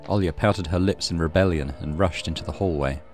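A man reading a horror visual novel aloud as dramatic, measured narration. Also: sits low, darkish tone. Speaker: gaming channel host reading visual novel. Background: music.

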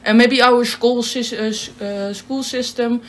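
Young female gaming co-host, Dutch accent, slightly high pitch, informal giggly banter in second-language English. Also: steady tone, measured pace. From Netherlands. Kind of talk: casual chat over gameplay.

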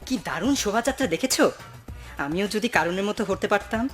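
A woman's voice: mid-range voice, expressive, fairly quick, over music, natural voice. A woman voicing a deferential servant and gossiping townsperson in a cartoon. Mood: gossiping, wistful. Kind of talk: cartoon voice acting.

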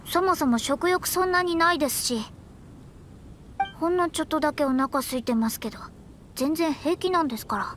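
A woman's voice: sits high, moderate pace, a bit bright, slight background noise. A woman voicing a proud young astrologer in a Japanese anime game, prim and polite but stammering when flustered. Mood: bashful, proud. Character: proud young female astrologer, easily flustered, putting on dignity. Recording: music behind the voice.

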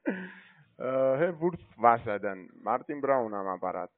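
A man with a foreign accent on a sales call, speaking hesitantly in halting non-native phrasing. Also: mid-range voice, sing-song, no echo. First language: not native German speaker. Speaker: cold-calling online trading agent. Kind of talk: unscripted phone sales call. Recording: tinny narrow phone line.

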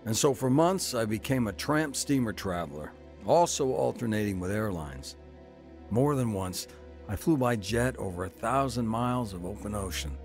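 A man's voice: fairly low pitch, animated, normal speed, over music. A middle-aged man telling his own story in earnest first-person narration for a radio drama. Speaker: narrator and lead.

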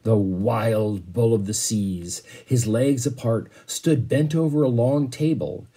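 Husky, mellow middle-aged man reading aloud. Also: mid-range voice, takes it slowly. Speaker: teacher reading to a class. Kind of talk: read-aloud storytelling with casual asides. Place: small bedroom, indoors.

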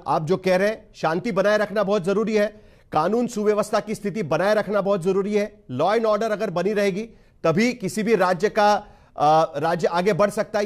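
A man's voice: sits high, a little mellow. A man with an Indian accent anchoring a broadcast news interview in a formal, wordy way, repeating phrases for emphasis.

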